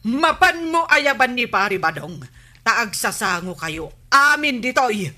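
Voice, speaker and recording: An actress playing the village captain's stern, nagging wife in an Ilocano radio comedy, with an Ilocano accent. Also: female voice, on the high side, very animated, a little slow, pushed and bright, exaggerated voice. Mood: stern, demanding. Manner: nagging, commanding.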